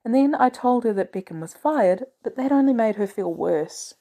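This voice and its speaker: A woman voicing a poised, caring female executive with composed, gently teasing delivery in a story reading. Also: a little high, expressive, moderate pace. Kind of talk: storybook character dialogue.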